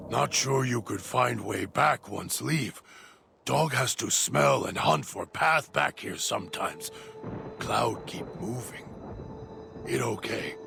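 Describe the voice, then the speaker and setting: A man voicing a deranged, hungry male dog-creature who talks of himself in halting, broken third-person speech. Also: mid-range voice, full character voice. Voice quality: gravelly. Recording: music bed underneath.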